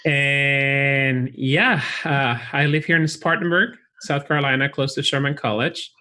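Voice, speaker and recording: A man with a Chilean Spanish accent, a college recruitment director, talking in a friendly, relaxed way with frequent restarts in a casual interview. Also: mid-range voice, a little slow. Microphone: video call.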